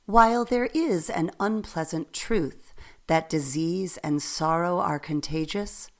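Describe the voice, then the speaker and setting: A woman narrating a radio play as a storyteller, reading in a measured way. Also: lowish voice.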